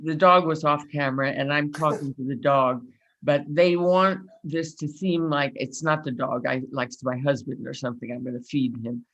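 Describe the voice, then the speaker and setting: Female interviewer, conversational podcast host, loose and meandering delivery, thinks out loud. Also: very deep voice, fairly even intonation, normal speed, highs cut off.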